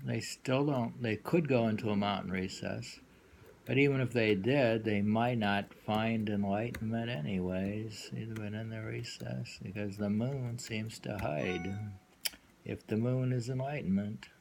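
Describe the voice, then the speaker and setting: A man giving an informal poetry reading with commentary, musing haltingly and repeating phrases as he explains. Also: fairly low pitch, creaky, a little mellow, a little hiss or hum.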